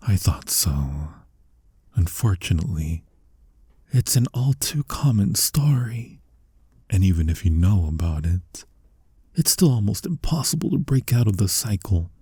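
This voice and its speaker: A man voicing a flirty, tender incubus demon in an ASMR roleplay, breathy and husky, coaxing slowly and reassuringly, often sinking into a whisper. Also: low-pitched, mellow, no echo, exaggerated voice. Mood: fond, teasing. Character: flirty male incubus demon, tender, soothing, gently dominant. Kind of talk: ASMR roleplay, intimate comforting monologue.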